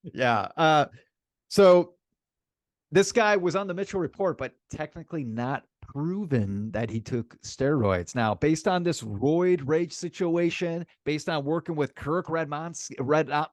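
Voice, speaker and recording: A man's voice: fairly high pitch, unhurried, dry recording. A man co-hosting a sports podcast in casual chat, speaking emphatically and repeating phrases for effect.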